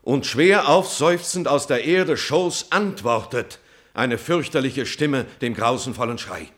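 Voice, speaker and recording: An actor playing a grief-stricken messenger in a classical verse tragedy for radio, declaiming solemnly in standard German stage diction with drawn-out dramatic phrasing. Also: male voice, medium pitch, a bit bright. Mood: horrified, urgent. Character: grief-stricken male messenger, loyal friend reporting a hero's death.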